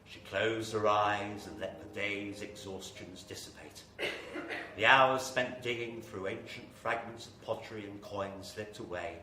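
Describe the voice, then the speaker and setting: A man's voice: lowish voice, steady tone, measured pace, bright, forceful tone. A man playing a grave, theatrical narrator of a horror radio drama, telling it with suspense. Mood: calm, descriptive. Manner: theatrical, suspenseful, building to intensity. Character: grave, ominous male horror story narrator. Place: live performance venue with audience. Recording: echoey live hall, distant mic, faint noise.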